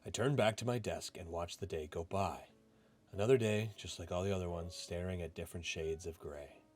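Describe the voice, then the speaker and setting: A man voicing a reserved, brooding young office worker who narrates a visual novel in a subdued, measured way. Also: low-pitched, darkish tone, voice pushed down, close to an everyday voice. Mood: wistful. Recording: music playing behind the voice.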